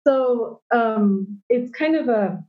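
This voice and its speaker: A woman from Japan with an American accent, sharing her thoughts reflectively in a discussion forum, halting and restarting often. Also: a little high, animated. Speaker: forum participant. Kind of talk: casual personal sharing in a discussion. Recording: dull lo-fi audio.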